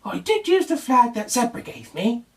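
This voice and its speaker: A man's voice: very high voice, very animated, moderate pace, darkish tone, voice pushed up. A man voicing a sad, sighing duck in a children's storybook. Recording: voice distant from the mic.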